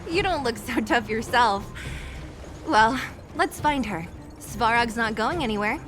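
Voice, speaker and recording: A woman voicing a brisk, protective, wry young woman fighter in a video game. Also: on the high side, lively intonation. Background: music.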